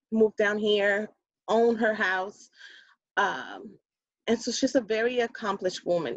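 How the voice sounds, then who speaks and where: A woman's voice: medium pitch, no echo. A woman in her thirties with a New York Puerto Rican accent, chatting animatedly as an interview guest. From Bronx, New York. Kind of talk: casual interview chat. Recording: dull lo-fi audio.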